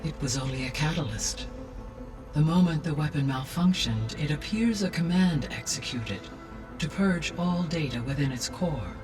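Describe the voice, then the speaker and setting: An actress voicing a calm, wise female AI that speaks in a measured, formal way. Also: sits low. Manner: measured, formal, precise diction. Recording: distant voice over loud music.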